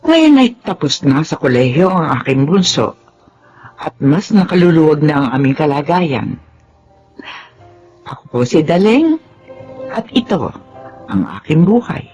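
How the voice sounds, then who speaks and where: An actress playing a humble, loyal middle-aged former housemaid in a radio drama, polite and deferential. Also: female voice, deep voice, sing-song, a little slow, pauses often, darkish tone, muffled recording, soft background music. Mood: reflective narration.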